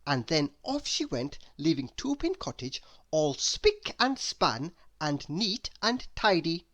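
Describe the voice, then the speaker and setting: A woman reading a children's story aloud as an expressive narrator. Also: deep voice, slow. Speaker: story reader.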